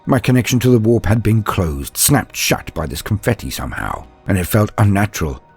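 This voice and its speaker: A man as an earnest young armored warrior, low and husky. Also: very animated, darkish tone, faint music behind, full character voice. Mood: tense, dramatic. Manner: solemn, deliberate, formal diction. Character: earnest bulky young armored psyker apprentice, recounting his own tale. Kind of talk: dramatic first-person story narration.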